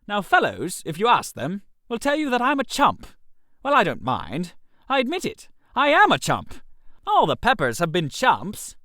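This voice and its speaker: A man's voice: high-pitched, huge pitch swings, moderate pace, pushed and bright, no room sound, studio quality. A man narrating a comic short story in character as a chatty upper-class chap, in a theatrical manner. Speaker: narrator reading as a first-person character.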